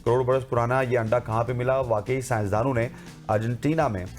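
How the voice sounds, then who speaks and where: A hoarse man presenting a morning TV show in a formal announcer way, with fillers. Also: mid-range voice, fairly even intonation, a little fast, faint music behind.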